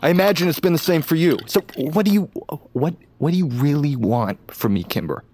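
A man's voice: on the high side, animated, brisk, a little mellow. An actor playing a troubled, weary man in his late twenties in an audio drama, guarded and stammering. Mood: bitter, frustrated. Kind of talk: naturalistic audio drama acting. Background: music.

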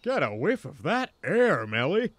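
A man's voice: on the high side, big pitch swings, a little slow, a bit bright, dry recording. A man playing an upbeat, corny, enthusiastic middle-aged dad in an audio drama. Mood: excited, cheerful. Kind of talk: fan audio drama acting.

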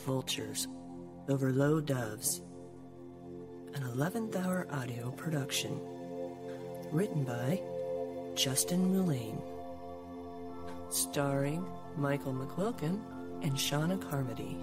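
A male announcer reads audio drama credits. He speaks slowly at a middling pitch, with loud music around him. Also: lively intonation. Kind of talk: scripted credits narration.

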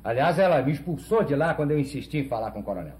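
A man's voice: medium pitch, very animated, racing, a little mellow, a little hiss or hum, lightly acted. An actor playing a skeptical, impatient man in a 1970s telenovela drama. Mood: exasperated. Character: skeptical, impatient man questioning a rumor of death.

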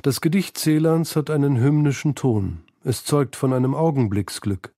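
A man's voice: mid-range voice, moderate pace, mellow, no room sound, studio quality. A man reading scripted narration for a literary documentary feature.

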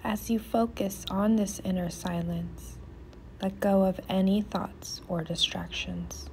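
Woman, guided meditation, soothing near-whisper, mellow and dark tone, noticeable ambient noise. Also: mid-range voice, steady tone, takes it slowly.

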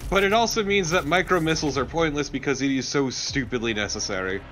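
A man's voice: a little high, fast, a bit bright, slight background noise. A man co-commentating on a video game in casual, blunt, opinionated chat with some swearing. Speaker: gaming let's play co-commentator.

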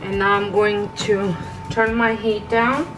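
Casting note: unhurried woman baking host, casual and homey, soft music underneath. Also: medium pitch, rough recording. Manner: relaxed, chatty, with fillers and self-corrections. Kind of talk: step-by-step baking narration. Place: home kitchen.

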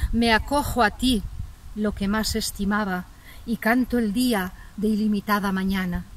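Slow solemn woman reciting verse. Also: fairly high pitch, a little hiss or hum. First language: Catalan. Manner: measured, dramatic pauses between phrases. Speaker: poet. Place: open dry countryside outdoors. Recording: outdoor ambience, voice a little distant.

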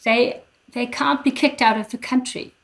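An older woman from Germany giving careful, halting explanations as an academic guest, speaking into a headset on a video call. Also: on the high side, fairly even intonation, vocal fry. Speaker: guest professor. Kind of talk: explanatory interview answers.

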